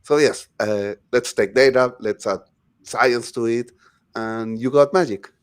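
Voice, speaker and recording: A man's voice: mid-range voice, measured pace, clear, resonant tone. A man from Chile, hosting a live-stream panel chat in a playful, enthusiastic way over a video call. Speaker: host.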